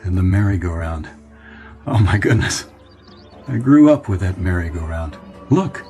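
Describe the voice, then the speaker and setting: An actor playing a wistful, earnest man haunted by his childhood in a radio play, halting when agitated. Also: sits low, expressive, warm tone, soft background music. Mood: wistful, nostalgic. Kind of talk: dramatic radio play acting.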